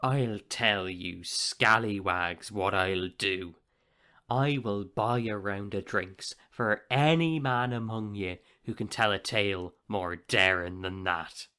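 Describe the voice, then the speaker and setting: Bright, forceful, boastful captain. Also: male voice, on the low side, slow, clear, resonant tone. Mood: showy. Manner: grandiose, pompous, dramatic storytelling. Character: boastful, swaggering young upstart pirate captain. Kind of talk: audio play acting.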